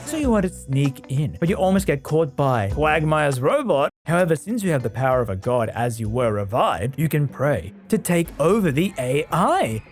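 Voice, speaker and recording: A man's voice: on the high side, big pitch swings, normal speed, few pauses. A man narrating a scripted, joking video game review with wry, exclamatory asides. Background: background music.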